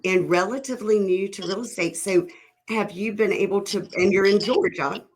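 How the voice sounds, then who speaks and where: A woman's voice: medium pitch, moderate pace. A woman hosting a casual video call interview, asking upbeat, loosely rambling questions. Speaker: podcast host, realtor.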